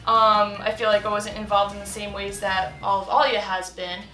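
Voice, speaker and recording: A woman's voice: medium pitch, level tone, normal speed. A young woman talking casually in an interview, chatty with many fillers and laughs. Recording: roomy echo with faint music.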